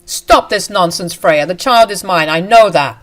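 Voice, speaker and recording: A woman voicing a loud, domineering husband. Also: on the low side, expressive, normal speed, faint music behind. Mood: defensive. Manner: loud and demanding. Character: angry domineering husband, entitled and scornful.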